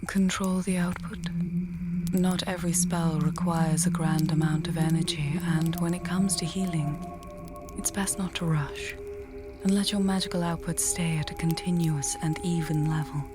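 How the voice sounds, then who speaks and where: A woman playing a wry, confident mage and healer in a fantasy audio tale, speaking in a measured, articulate, dryly teasing way. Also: fairly low pitch, steady tone, music in the background, lightly acted. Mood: calm, explanatory. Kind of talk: fantasy audio roleplay, addressing the listener.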